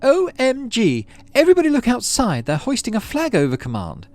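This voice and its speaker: A man voicing an excited, bossy young girl in a game, with emphatic, theatrical exclamations. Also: very high-pitched, a little fast, pitched above the performer's own voice, heavily acted. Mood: excited, proud.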